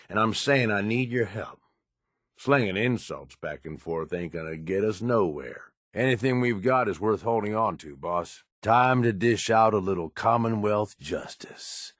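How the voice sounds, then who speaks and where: An actor voicing a tough, cynical middle-aged American raider in a video game, gruff and folksy in a plain-spoken way. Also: male voice, on the low side, lively intonation, unhurried, a bit bright, dry recording, studio quality. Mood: serious, then wry, callous. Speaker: companion character in a video game. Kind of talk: acted video game dialogue.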